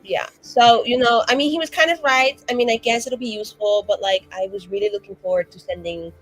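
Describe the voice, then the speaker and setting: Woman rambling in character at a tabletop game, um-filled, soft music behind. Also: fairly high pitch, a little fast, low-quality recording. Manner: rambling with many fillers and restarts. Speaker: player.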